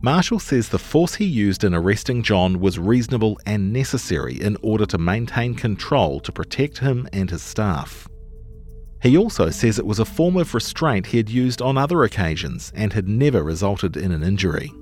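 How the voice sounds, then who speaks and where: A man reading a long-form news feature in a measured, articulate scripted narration style. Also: fairly low pitch, sing-song, darkish tone, soft background music.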